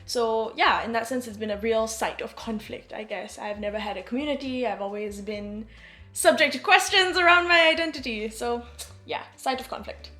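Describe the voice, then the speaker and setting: A woman's voice: a little high, moderate pace, bright, forceful tone, soft background music. A woman in her twenties from Singapore, thoughtfully talking about her own experiences as a podcast guest. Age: 20s. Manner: reflective, with fillers like "you know". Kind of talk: casual personal account.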